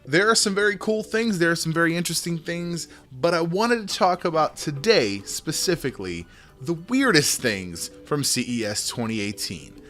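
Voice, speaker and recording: A man hosting a tech show in casual, rambling commentary with a loud speaking voice, often repeating and restarting his phrases, close to a microphone. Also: fairly high pitch, sing-song, normal speed, soft background music. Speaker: tech video host. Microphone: microphone close in front.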